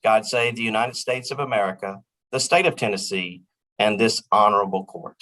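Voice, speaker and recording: A man's voice: on the low side, normal speed, poor audio. A man serving as a court officer, calling out the formal opening of a court session and announcing the case. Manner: ceremonial, loud calling out.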